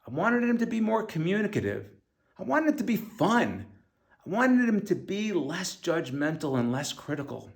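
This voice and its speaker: A middle-aged man reads a scripted personal memoir aloud. His voice sits a little high for a man and carries heavy creak and fry. The delivery is earnest and reflective, as an author narrating his own book. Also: sing-song, moderate pace.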